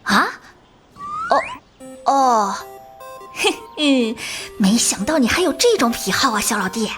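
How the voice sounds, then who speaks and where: An actress playing a confident young policewoman in an animated crime drama, breezy, sociable and playfully familiar. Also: on the high side, slow, soft background music, exaggerated voice. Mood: teasing.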